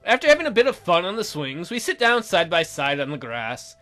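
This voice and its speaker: A man's voice: sits high, very animated, brisk, sharp tone, soft background music. A man reading a visual novel aloud as a let's play host, in a theatrical, jokey way with his own ad-libbed commentary.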